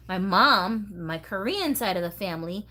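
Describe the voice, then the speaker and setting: A woman's voice: mid-range voice, measured pace. Chatty, slangy woman vlogger. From US East Coast. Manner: animated, slangy, expressive, addresses viewers directly. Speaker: host of a doll collecting channel. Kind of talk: casual chatty vlog, talking to viewers.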